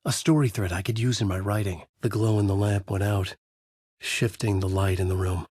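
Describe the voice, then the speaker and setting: An actor playing a haunted, desperate horror writer, narrating in a brooding, intense noir style for a video game. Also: male voice, on the low side, steady tone, normal speed, darkish tone, slightly rough, no echo, clean studio sound. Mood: brooding, grave. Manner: brooding, intense, terse delivery. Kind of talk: dramatic first-person noir narration.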